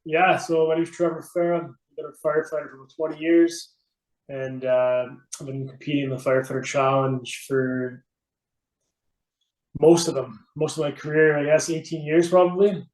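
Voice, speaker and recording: A middle-aged man with a fairly high-pitched voice, recorded in a clearly echoey room. He is a podcast guest, chatting casually and explaining things from his own experience. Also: level tone, moderate pace, a little mellow. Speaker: podcast guest, veteran firefighter and competitor. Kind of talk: casual interview talk. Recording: roomy, echoey sound.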